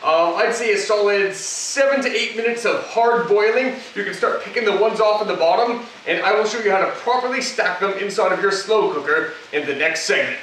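A man with a high, bright voice walks viewers through a recipe in a chatty, instructive way, tossing in little jokes. He is recorded by a camera mic across a home kitchen, so the sound is very echoey and distant. A faint hum of noise sits underneath. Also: a little fast, hardly any pauses. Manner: chatty, instructive, with joking asides. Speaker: cooking show host. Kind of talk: casual cooking demonstration. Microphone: camera mic from across the room.

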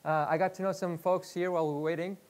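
A man from Iraq, in his thirties, talks to a room of students on a headset mic. His voice sits a little high for a man, and he speaks in a loose, chatty way, restarting phrases and filling pauses. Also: steady tone, a little mellow. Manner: chatty, repeats words, many fillers. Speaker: guest speaker. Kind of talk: live workshop talk. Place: lecture room with projector screen.

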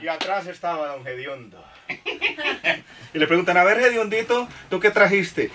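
A man's voice: sits high, lively intonation, unhurried, pushed and bright. A man from Colombia, a guest singer chatting casually in a radio studio and telling jokes. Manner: joking, tells jokes with acted voices. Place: busy radio studio, indoors. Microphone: studio mic with headphones, picked up from a distance. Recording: distant mic, noisy room.

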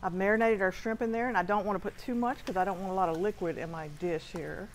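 A chatty middle-aged woman cooks on a TV studio kitchen set, walking through her recipe step by step. Her voice sits at an everyday pitch, picked up on a lapel mic with faint background noise. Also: steady tone, fast talker. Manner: chatty, laughs easily. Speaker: guest chef. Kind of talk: cooking demonstration.

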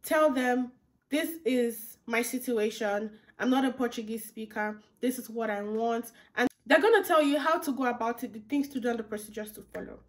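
A young woman chats to her viewers from a curtained room, her voice sitting a little high. She is friendly and informal, circling back over the same points and calling everyone "guys". She speaks to a camera set a short distance away. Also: moderate pace. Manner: chatty, repeats phrases, talks to viewers as "guys". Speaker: vlogger. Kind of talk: casual advice vlog to camera. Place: indoor room with curtains behind.

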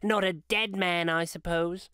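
A man's voice: fairly even intonation, unhurried, bright, forceful tone, clear, resonant tone, dry recording, studio quality. A man voicing a brash, callous charwoman in a storybook reading, blunt and defiant. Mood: brazen. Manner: shrill, defiant, working-class bluntness. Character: brash, callous old charwoman selling stolen bedclothes.

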